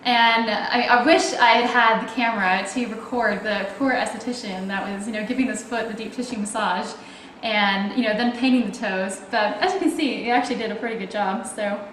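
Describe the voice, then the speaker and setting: A woman's voice: on the high side, steady tone, brisk, fairly bright tone, reverberant, a little hiss or hum, poor audio. A young woman with a Canadian accent, chatting casually in a video blog and explaining things with plenty of fillers. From Toronto, Canada. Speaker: athlete video blogger.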